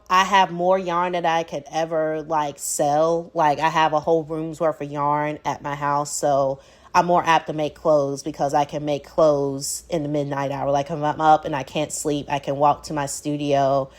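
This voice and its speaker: A woman with a low voice and a Southern accent hosts her own podcast alone. She muses in a loose, unhurried way, with plenty of "like" and "um". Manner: casual, reflective, frequent "like" and "um" fillers. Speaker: podcast host. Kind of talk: solo podcast monologue.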